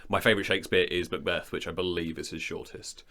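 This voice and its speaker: Deep-pitched British male, casual podcast chat, frank and unpolished delivery. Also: fairly even intonation, quick pace, pushed and bright. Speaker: audio drama producer, writer and editor. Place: indoor studio.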